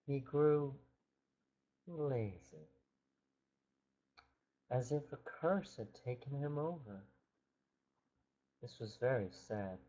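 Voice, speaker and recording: A man's voice: medium pitch, mellow, lo-fi sound. A man making up a fairytale aloud as he goes, drawing words out for theatrical emphasis. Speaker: storyteller. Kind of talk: improvised fairytale narration.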